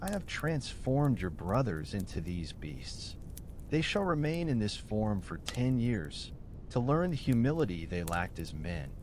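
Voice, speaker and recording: A man's voice: lowish voice, very animated, unhurried, a little mellow, a little hiss or hum. A man voicing a passionate, powerful female jinn in a bedtime storybook, with solemn, formal declarations. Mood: stern.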